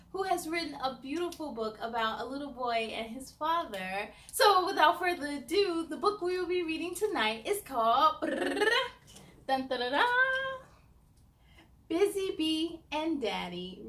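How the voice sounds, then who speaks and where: Female children's read-aloud host, very high voice, animated sing-song delivery, speaks directly to kids. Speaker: host of a children's story time show.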